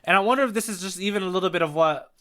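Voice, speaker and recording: A man's voice: high voice, rapid-fire, pushed and bright, smooth. A man co-hosting a podcast, talking casually and enthusiastically about stories and manga. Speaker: podcast co-host.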